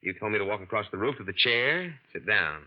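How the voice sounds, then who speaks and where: An actor playing a tense young man posing as an heir in a 1940s radio drama, in a low, guarded, clipped voice, on a dull old broadcast recording. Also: sing-song, a little fast.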